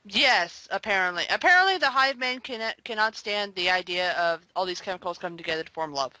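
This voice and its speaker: Female guest, bright and wisecracking, mid pitch, dry close audio. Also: animated, dry recording, rough recording. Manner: wisecracking, giggly, quick quips. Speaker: podcast guest. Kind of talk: casual review discussion.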